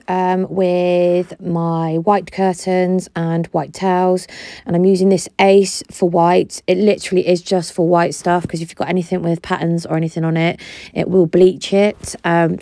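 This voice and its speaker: Relaxed woman with gravelly low voice. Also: no echo. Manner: relaxed and chatty, explains steps with frequent fillers. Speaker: home cleaning vlogger. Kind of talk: casual voice-over narration.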